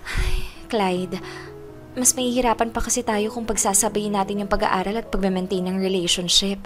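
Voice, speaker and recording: A woman's voice: mid-range voice, steady tone, moderate pace, natural voice. A Filipino woman playing a frank, preoccupied college girlfriend in a naturalistic audio drama. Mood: firm, pleading. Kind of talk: naturalistic radio-style drama acting. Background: clear background music.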